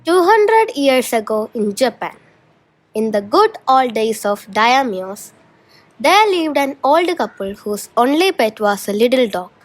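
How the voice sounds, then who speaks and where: A female student storyteller with a fairly high voice. She reads a scripted tale as the narrator of a school production. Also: sing-song, a little slow, soft background music, rough recording.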